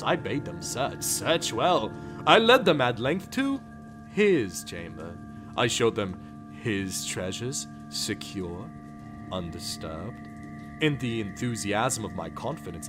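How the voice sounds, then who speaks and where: A man giving an intense, theatrical first-person dramatic reading of a classic tale. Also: a little high. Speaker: narrator. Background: music.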